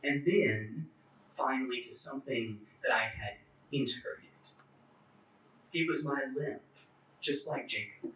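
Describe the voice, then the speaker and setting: A man with an occasional stutter giving a personal, sermon-like talk as clergy, in measured short phrases with deliberate pauses. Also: medium pitch, fairly bright tone. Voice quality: stutter, occasional broken fluency. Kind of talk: personal testimony sermon at a church forum. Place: echoey indoor church forum space. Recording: echoey room, distant microphone.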